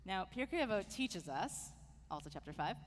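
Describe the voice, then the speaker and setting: A woman rabbi speaks from the pulpit in a tribute that sounds plainly heartfelt. Her voice sits at a middle pitch and carries a long reverb from the sanctuary, with a faint hiss beneath it. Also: very animated, moderate pace. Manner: warm, heartfelt address to an honoree. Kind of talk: sermon tribute to a departing staff member. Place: synagogue sanctuary.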